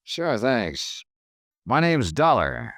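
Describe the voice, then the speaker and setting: An actor playing a dogged, wry American insurance investigator in a 1950s radio drama, with clipped, probing questions and first-person narration. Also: male voice, mid-range voice, sing-song, very slow, gravelly, fairly bright tone, no echo, clean studio sound, full character voice. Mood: casual, probing.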